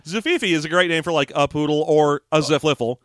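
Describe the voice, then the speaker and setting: A man co-hosting a podcast, chatting casually about a book, joking and laughing often and dropping into quick impressions. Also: on the high side, no room sound, clean studio sound. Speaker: podcast co-host. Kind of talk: casual chat discussing a book.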